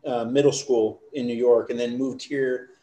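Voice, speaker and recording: A man's voice: mid-range voice, steady tone, normal speed, dark tone, echoey room, soft background music, low-quality recording. A middle-aged man from the United States, chatting easily as a podcast guest. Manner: easygoing, chatty storytelling. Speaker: interview guest. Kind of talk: casual chat.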